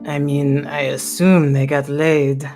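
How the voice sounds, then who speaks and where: A woman's voice: low-pitched, level tone, slow pace, mellow, faint music behind. A woman playing a groggy, grumpy, hungover party-loving adventurer in a tabletop game, with a dry manner. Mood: dry, teasing. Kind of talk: tabletop roleplay.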